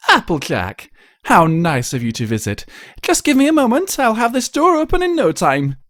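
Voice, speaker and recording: A man plays a genteel young lady unicorn from a cartoon-based story, theatrical and fretful. The pitch sits high and swoops about with fussy drama. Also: huge pitch swings, moderate pace, fairly bright tone, dry recording, studio quality, full character voice. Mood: sing-song welcome. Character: refined, dramatic, fretful young female unicorn fashion designer.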